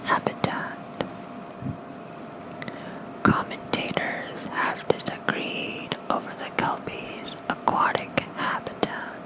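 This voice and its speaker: An ASMR creator softly reading folklore aloud in a hushed, unhurried bedtime whisper. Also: poor audio.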